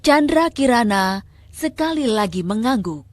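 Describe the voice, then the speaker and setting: Young woman storyteller, fairly high voice. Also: lively intonation, a little slow, dry recording. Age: young adult. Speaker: storyteller, student reading a folk tale. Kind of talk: scripted children's storytelling narration. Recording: music under the narration.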